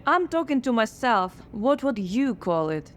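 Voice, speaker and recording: A woman's voice: fairly high pitch, sing-song, a little slow, a little hiss or hum. A woman playing a skeptical, frazzled scriptwriter in a radio drama, dry and sardonic.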